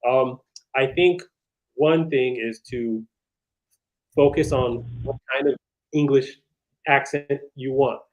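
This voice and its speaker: A man's voice: medium pitch, fairly even intonation, takes it slowly, pauses often. An American man answering interview questions, explaining things step by step in a casual, thoughtful way. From United States. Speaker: guest. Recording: dull lo-fi sound.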